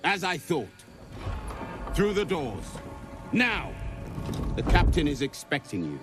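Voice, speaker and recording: An actor playing a harsh githyanki guard who barks orders at prisoners. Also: male voice, on the high side, very animated, slow pace, music in the background. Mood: commanding. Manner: barking orders.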